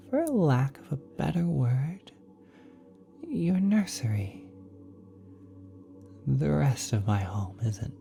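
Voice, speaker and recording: Nurturing celestial entity, woman performer: very deep, dark, breathy and unhurried, soothing ASMR roleplay delivery, soft music underneath. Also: full character voice. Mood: delighted, proud. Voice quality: soft, breathy, often whispered. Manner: tender, reassuring, unhurried, speaking as if to a small child. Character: gentle, doting ethereal cosmic being, nurturing a young one.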